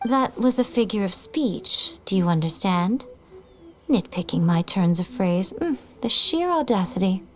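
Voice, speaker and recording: A woman voicing an elegant, condescending shrine familiar in a video game, sly and knowing, with a measured, teasing manner. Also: mid-range voice, expressive, lightly acted. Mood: haughty teasing. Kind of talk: video game character acting.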